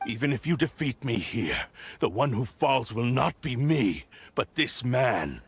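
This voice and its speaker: An actor voicing an arrogant, menacing cursed blade possessing a man's body, speaking haughtily and declaiming threats in a gravelly voice. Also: mid-range voice, very animated, unhurried, a little hiss or hum. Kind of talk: game voice acting. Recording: game soundtrack under voice.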